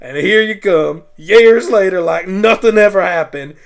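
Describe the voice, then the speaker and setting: A man voicing a stern, hurt adult mare, a protective big sister with a forceful scolding manner, in an amateur radio play. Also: high-pitched, measured pace, vocal fry. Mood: furious, anguished.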